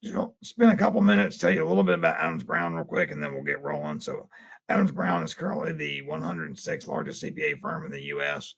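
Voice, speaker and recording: A man with a very raspy, strained voice, giving a webinar presentation as a CPA. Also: low voice, wildly swooping pitch, fast, a bit bright. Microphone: webinar call. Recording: dull lo-fi webinar audio.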